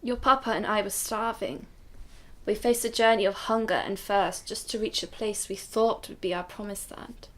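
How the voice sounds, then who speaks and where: A woman's voice: medium pitch, steady tone, moderate pace, lightly acted. Woman playing earnest protective grandmother recounting hardship. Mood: solemn, reflective. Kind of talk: radio drama acting.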